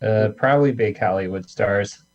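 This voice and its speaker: A man with a fairly low voice speaks as a guest in a casual chat. His answers are short, hesitant and noncommittal. The sound is dull and lo-fi. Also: normal speed. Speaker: talk show guest. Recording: band-limited, dull lo-fi sound.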